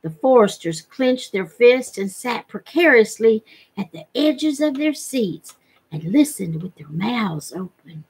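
Slow-reading middle-aged woman storyteller. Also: fairly high pitch, big pitch swings, breathy edge. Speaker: reader. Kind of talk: read-aloud storytelling from a novel. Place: living room at home. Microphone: webcam at desk distance.

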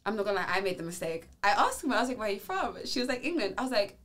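A young woman from the Netherlands co-hosting a podcast, telling stories in casual chat with an animated, laughing manner. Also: medium pitch, racing. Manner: animated storytelling with frequent laughs. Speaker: podcast co-host.

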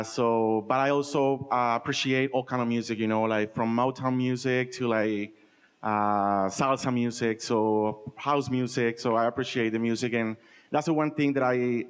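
A man's voice: mid-range voice, smooth. A man, chatting casually on stage into a handheld mic as a festival organizer. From Colombia. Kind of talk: casual onstage interview. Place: museum event room with live audience. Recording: long hall reverb.

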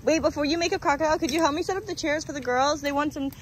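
A woman, playing dolls with children in an exclamatory, expressive way, outdoors. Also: high-pitched, racing. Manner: exclamatory, expressive, with frequent gasps. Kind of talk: playful doll pretend play with children. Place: sandy beach, outdoors. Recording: outdoor beach noise.

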